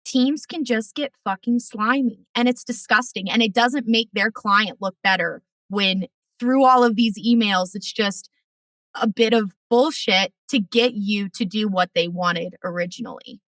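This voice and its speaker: A woman podcast host with a raspy voice, talking in an outspoken, emphatic way in casual conversation. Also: mid-range voice, a little slow, a bit bright, no room sound, distant mic. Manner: outspoken, swears freely, emphatic.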